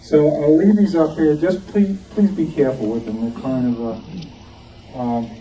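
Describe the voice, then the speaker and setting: Distant, mellow-voiced man, halting informal presenter. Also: fairly high pitch. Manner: hesitant, halting, searching for words. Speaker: club member presenting collection. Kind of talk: informal show-and-tell talk. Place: club meeting room with audience. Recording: distant muffled room mic, background noise.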